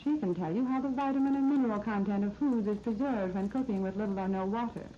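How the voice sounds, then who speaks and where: A woman's voice: mid-range voice, fast talker. An American woman hosting a scripted 1930s radio shopping program, giving promotional, instructive product talk. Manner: promotional, instructive sales patter. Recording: dull, noisy 1939 radio disc recording.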